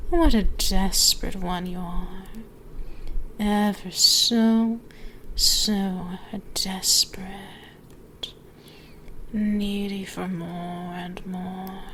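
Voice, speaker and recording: Slow, seductive dragoness, acted by a woman. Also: mid-range voice, fairly even intonation, fairly bright tone, a little hiss or hum. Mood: commanding, seductive. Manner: slow, teasing, drawn-out, often dropping to whispers. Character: seductive, teasing, dominant ancient female dragon. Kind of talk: ASMR roleplay, speaking intimately to the listener.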